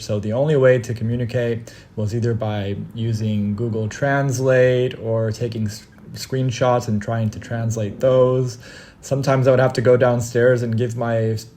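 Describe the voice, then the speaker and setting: A young man from Brazil calmly telling a recorded travel story as a podcast guest. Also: mid-range voice.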